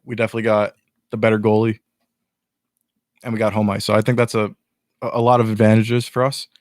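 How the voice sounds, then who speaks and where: A young man with a lowish voice talks earnestly and at length as a guest, stumbling and restarting as he explains. The recording is close and dry, with no room sound. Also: completely flat delivery, quick pace, no room sound. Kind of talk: casual sports chat.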